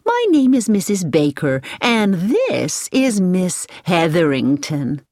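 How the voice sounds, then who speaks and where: Woman voicing a middle-aged American lady, pleasant voice, chatty and curious, unhurried, dry close sound. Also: lowish voice, wildly swooping pitch, darkish tone, dry recording, exaggerated voice. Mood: friendly. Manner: slow, inquisitive and sociable.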